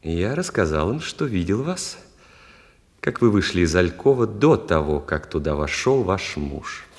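An actor playing an insinuating, mock-polite playwright in a radio play, with hesitant hums and repeated phrases. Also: male voice, low-pitched, big pitch swings, unhurried, heavily acted. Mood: oily politeness. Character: insinuating, oily male playwright peddling his play. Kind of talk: Russian radio play acting. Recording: echoey hall, long reverb.

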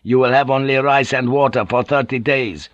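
A man's voice: medium pitch, fairly even intonation, moderate pace, dry recording, highs cut off, close to an everyday voice. A man reading an audiobook, voicing a zealous, wrathful priest, a stern superior who commands and condemns. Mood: stern condemnation. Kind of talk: dramatic audiobook character acting.

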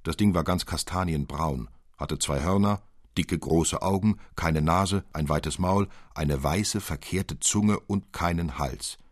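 A man narrating a literary tale in an expressive, deliberate, old-fashioned storytelling manner. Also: low-pitched, no room sound, studio quality. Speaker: audiobook narrator.

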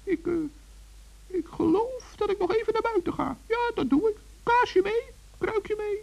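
A man voicing a small old gnome, gentle, shy and dithering, in a children's radio play. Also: very high voice, measured pace, vocal fry, voice pushed up, heavily acted. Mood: sleepless, dreamy. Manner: dithering, repeats himself, talks in diminutives. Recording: dull old radio recording.

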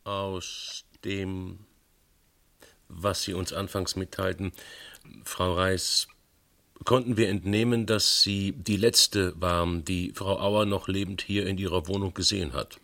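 An actor playing a methodical police investigator in a radio play, asking clipped, probing questions with polite insistence. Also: male voice, low voice, fairly even intonation, slow pace, a bit bright, roomy sound, exaggerated voice. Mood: polite, insistent. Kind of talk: German radio play acting.

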